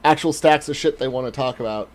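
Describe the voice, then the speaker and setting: A man's voice: medium pitch, fast talker. A man hosting a casual podcast chat, joking sarcastically and swearing freely. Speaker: podcast host.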